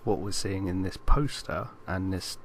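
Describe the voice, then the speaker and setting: A man with a fairly low voice chats casually as co-host of a film podcast. He teases and questions with a mock-serious manner. A slight noise sits behind his voice. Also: a little slow. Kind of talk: casual chat about films.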